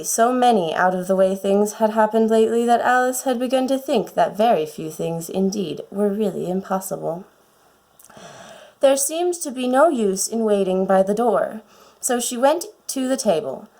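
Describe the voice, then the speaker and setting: A woman reading a children's storybook aloud with a put-on British accent, in an expressive storytelling manner. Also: mid-range voice. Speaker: audiobook reader.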